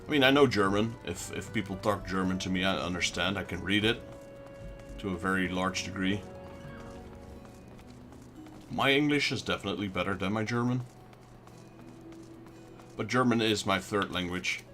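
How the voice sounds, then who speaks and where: A man's voice: low-pitched, moderate pace, long pauses, fairly bright tone, faint music behind. A man with a Dutch accent, a native Dutch speaker, giving casual let's play commentary and chatting with his viewers. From Netherlands. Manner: chatty, talks back to viewer chat.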